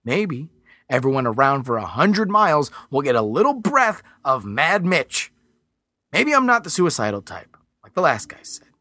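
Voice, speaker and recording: A man's voice: a little high, very animated, moderate pace, bright, forceful tone, no echo, clean studio sound, exaggerated voice. A man voicing a desperate, defiant man who talks in an agitated rush. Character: desperate man holding off rescuers from a boat.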